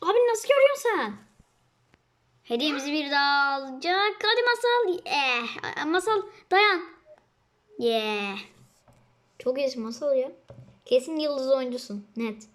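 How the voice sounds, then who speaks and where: A young girl chatting excitedly and casually as she hosts and commentates on a video game. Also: high voice, measured pace, long pauses. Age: child. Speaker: host of a gaming channel.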